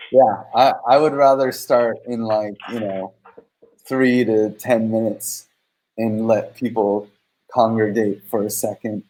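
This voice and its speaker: A man's voice: mid-range voice, dark tone, low-quality recording. A man hosting a casual livestream over a video call, talking loosely with lots of fillers. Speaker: host.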